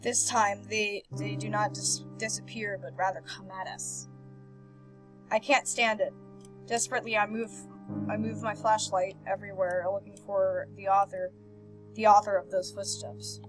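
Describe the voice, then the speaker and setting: A woman hosting a game playthrough, reading visual novel text aloud with animated, casual reactions and stumbling over words now and then. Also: medium pitch, moderate pace, poor audio. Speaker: host of a video game playthrough. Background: game background music.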